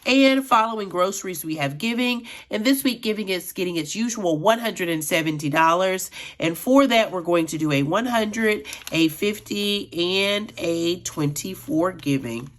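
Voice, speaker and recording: A middle-aged woman vlogging about her budget in a chatty, friendly way, a little raspy from allergies. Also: on the low side, animated, moderate pace. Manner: chatty, addresses viewers as friends. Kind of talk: casual chat while counting cash.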